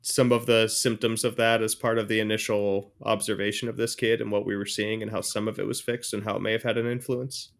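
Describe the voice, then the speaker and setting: A man's voice: lowish voice, level tone, fairly quick, gravelly, hardly any pauses. A man talking casually as a co-host in a podcast conversation.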